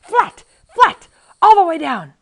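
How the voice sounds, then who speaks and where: A woman's voice: a little high, sing-song, quick pace, fairly bright tone. A woman voicing a bold male swordsman with an urgent, commanding manner, reading from a storybook. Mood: shouting commands.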